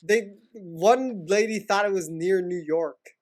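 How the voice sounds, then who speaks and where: A man in his thirties who grew up in Hawaii, co-hosting a casual podcast, excitable and quick to laugh. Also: high-pitched. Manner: excitable, laughs often, says "man" and "dude". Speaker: podcast co-host. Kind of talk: casual chat.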